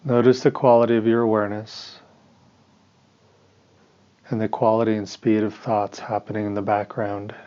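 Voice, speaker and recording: Low-voiced man guiding meditation, muffled recording. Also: level tone, dark tone. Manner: measured, instructional, with pauses between short phrases. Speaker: meditation teacher. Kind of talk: guided meditation instruction.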